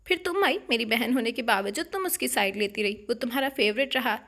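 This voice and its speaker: A woman's voice: fairly high pitch, lively intonation, vocal fry, noisy background. A woman voicing a distraught young bride, defiant and bitter, speaking with raised emotion in an audiobook. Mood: furious.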